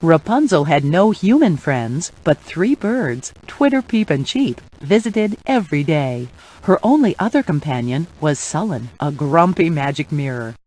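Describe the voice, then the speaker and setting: Fairy godmother, kindly, gentle storytelling, very expressive intonation, children's storybook game. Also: sits low, measured pace, exaggerated voice, low-quality recording. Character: kindly female fairy godmother telling fairy tales. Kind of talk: scripted children's storybook narration.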